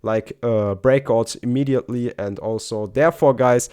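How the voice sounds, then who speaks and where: A man's voice: medium pitch, normal speed, darkish tone, smooth. A man with a German accent, German his first language, giving casual chart analysis for his channel and repeating "guys" and "very, very" often. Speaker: crypto YouTuber.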